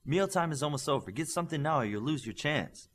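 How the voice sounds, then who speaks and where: A pointed, proper schoolmistress, as a male reader plays her in an audiobook. She is brisk with people, pitched higher with animated intonation. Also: a little hiss or hum, close to an everyday voice. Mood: stern. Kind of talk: audiobook character voice.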